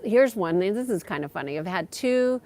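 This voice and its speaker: A woman host chatting casually on a livestream as a spiritual guide, thinking aloud in a halting way as she takes viewer questions. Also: mid-range voice, expressive, darkish tone.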